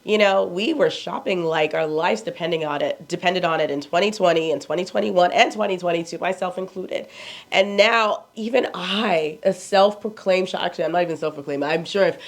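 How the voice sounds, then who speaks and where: A woman's voice: on the low side, a little fast. A woman in her forties with a Nigerian accent, chatting casually to camera as a fashion vlogger, blunt and candid, asking herself rhetorical questions. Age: 40s.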